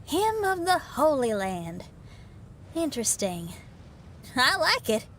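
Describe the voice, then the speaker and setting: Playful, high-voiced young woman. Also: sing-song, slow pace, fairly bright tone, a little hiss or hum, full character voice. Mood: amused. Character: playful, easily amused young woman companion. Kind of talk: video game voice acting. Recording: music behind the voice.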